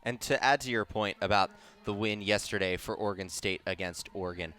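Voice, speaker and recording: A man's voice: on the low side, a little fast, pushed and bright. A man giving color commentary, adding background notes in a conversational way.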